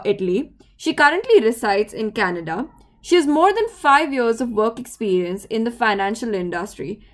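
Higher-pitched woman hosting a podcast. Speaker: podcast host. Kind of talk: scripted intro, then interview.

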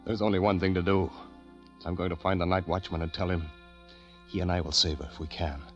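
An actor playing a doomed, sensitive writer reading out a last desperate entry in grave, halting 1940s radio style. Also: male voice, deep voice, little pitch movement, fairly bright tone, dull audio. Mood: grave, resolved. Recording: vintage 1940s radio recording, music behind.

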